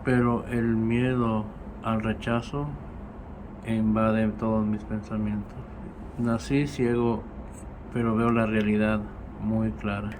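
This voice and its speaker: A man reading his own poem aloud, as a poet at a reading. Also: medium pitch. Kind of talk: spoken poetry reading. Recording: clear air conditioner or traffic hum.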